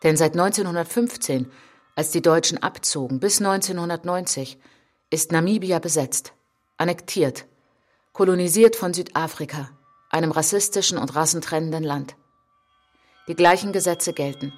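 A woman performing a solemn narrator in a literary radio drama, speaking in measured, dramatic short phrases. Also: lowish voice, dry recording, natural voice. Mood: reflective. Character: solemn woman narrator recounting colonial atrocities as a traveller. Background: prominent music behind the voice.

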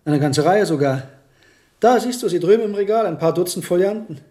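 An actor playing an earnest, musing monastery herbalist in a German radio play, speaking in a deliberate, thoughtful way. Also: male voice, sits high, expressive, mellow. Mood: animated.

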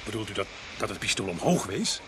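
An actor playing a seasoned, weary but commanding village police sergeant in a radio drama, plain-spoken with short phrases. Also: male voice, on the low side, sing-song, fairly quick, background noise. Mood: grave.

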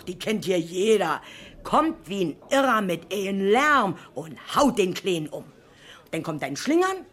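An actress playing an excitable, nosy working-class woman with a Berlin accent in a 1970s radio crime drama, garrulous and colloquial. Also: mid-range voice, natural voice. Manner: garrulous, colloquial, breathlessly stammering in agitation.

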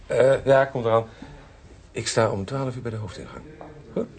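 An actor playing an eager, flirtatious junior police detective in a radio crime drama. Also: male voice, mid-range voice, animated, moderate pace, creaky.

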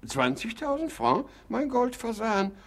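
An actor playing a doting, gullible, middle-aged rich husband in a theatrical, sentimental German radio comedy. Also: male voice, extremely high pitch, very animated, unhurried, full character voice. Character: doting, gullible, ailing wealthy husband making his will. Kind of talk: German radio comedy acting of a classic play.

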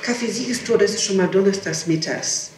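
A woman with a mid-pitched voice answers an interrogator, hesitating as she remembers, cutting in and correcting herself. The 1960s archive tape is very echoey, with some faint noise. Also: a little fast. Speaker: person being interrogated. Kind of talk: answering questions in a recorded interrogation.

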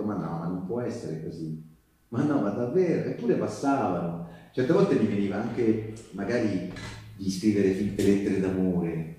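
An actor playing a wartime partisan who recounts his memories in a theatrical monologue, with emphatic repetitions and deliberate pauses. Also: on the low side, dark tone. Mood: animated, reminiscing. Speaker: theatre storyteller. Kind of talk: live theatrical narrative monologue. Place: live theatre performance with live music. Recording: very echoey stage recording, distant, music behind.

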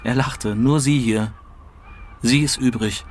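A man giving a dramatic reading of literary prose in short, deliberate phrases. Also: mid-range voice, steady tone, slight background noise. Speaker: literary reader.

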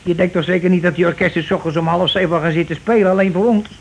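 An actor playing a coarse, cocksure scheming husband in a radio play, talking insistently and impatiently in colloquial speech. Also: male voice, sits high, steady tone, rapid-fire, gravelly. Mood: scornful, animated. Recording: noisy old radio drama recording.

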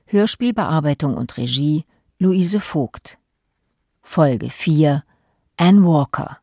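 A woman's voice: low voice, expressive, takes it slowly, warm tone, dry recording, clean studio sound. A woman reading a scripted credits announcement as a radio announcer.

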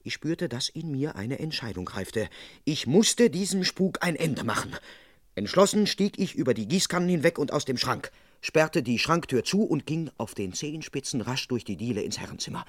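An actor playing an indignant, self-important police constable in an old German radio crime play, earnest and dryly comic. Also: male voice, mid-range voice, big pitch swings, normal speed, dry recording, studio quality. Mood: tense, earnest narrating.

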